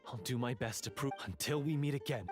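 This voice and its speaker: A man's voice: mid-range voice, a little fast, over music, close to an everyday voice. An actor playing a meek, remorseful young man in an anime video game, hesitant and sighing. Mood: remorseful, earnest.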